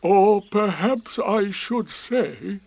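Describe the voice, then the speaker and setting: A man voicing a gloomy, sarcastic old donkey in a children's story, with slow, deadpan, dignified drawling. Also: sits high, creaky, no room sound, voice pushed up, full character voice. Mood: aloof, dignified. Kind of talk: children's storybook character reading.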